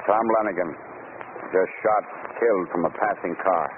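An actor playing a gruff, authoritative police commissioner in a 1940s radio crime drama. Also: male voice, low-pitched, steady tone, moderate pace, lightly acted. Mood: urgent. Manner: blunt, official, forceful. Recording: muffled, hissy old radio broadcast.

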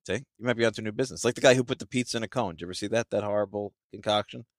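A low-voiced man hosting a food podcast, talking quickly and eagerly as he asks questions. His voice is close and dry, with no room sound. He keeps the chat casual and moving. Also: dry recording. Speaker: podcast host.

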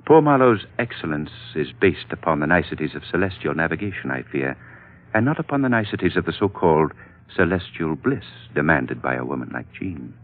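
An actor playing an elderly, dignified Chinese patriarch who speaks formally and solemnly in a vintage radio drama. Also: male voice, low-pitched, big pitch swings, heavily acted. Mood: grave warning. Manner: formal, stately, measured oratory. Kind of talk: 1940s radio drama acting. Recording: muffled vintage radio recording, music behind.

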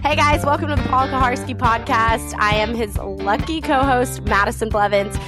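A woman's voice: on the high side, normal speed, over loud music. A young woman co-hosting a podcast, teasing and laughing often, while she has a cold. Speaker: podcast co-host. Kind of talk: casual podcast chat.

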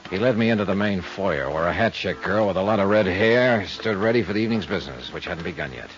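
An actor playing a world-weary, hard-boiled investigator, terse and wry, in 1950s radio noir. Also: male voice, low voice, lively intonation, fast. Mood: brooding, wry. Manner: terse, dry, wry tough-guy delivery. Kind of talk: 1950s radio noir acting and first-person narration. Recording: muffled 1950s radio recording with music.

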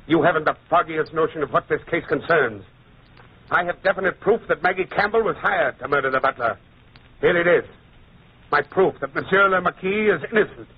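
Righteous indignant judge in a radio play, bit high and husky, tinny old sound. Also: male voice, fairly quick. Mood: protesting. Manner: earnest, oratorical. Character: indignant, principled French judge turned defence barrister. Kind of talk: old-time radio drama acting.

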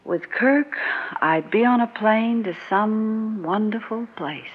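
An American actress playing a composed, resolute, quietly wistful married woman in a mid-century radio drama. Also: on the high side, slow pace, breathy edge. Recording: dull old radio recording.

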